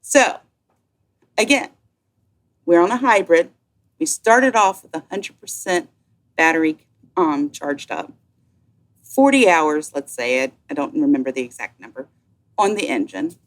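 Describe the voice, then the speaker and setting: Woman, slightly low voice, enthusiastic conversational guest, casual storytelling. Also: sing-song, measured pace, long pauses. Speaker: guest, yacht sales representative. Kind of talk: casual chat, telling an anecdote.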